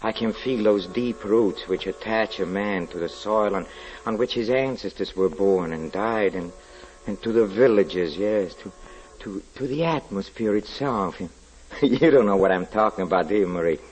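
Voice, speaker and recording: Man acting a low-voiced, hesitant French gentleman. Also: lightly acted, rough recording. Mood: dreamy, fond. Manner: hesitant, halting, repeats words and murmurs. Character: sensitive French gentleman, nostalgic and nervous diarist. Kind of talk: 1940s radio drama acting, diary narration. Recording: vintage 1940s radio broadcast with music.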